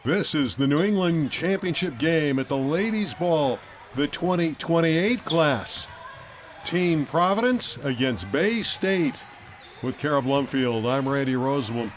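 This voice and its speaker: A man's voice: on the high side, animated, unhurried, slight background noise. A man calling a youth basketball game as the play-by-play announcer, upbeat and hyping the action. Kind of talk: sports commentary.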